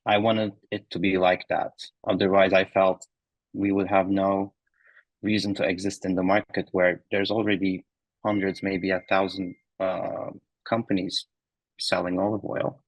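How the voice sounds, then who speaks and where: A man in his thirties from Turkey, answering interview questions in a relaxed way with many ums and uhs. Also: low-pitched, unhurried, no room sound, low-quality recording. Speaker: founder and guest. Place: remote recording.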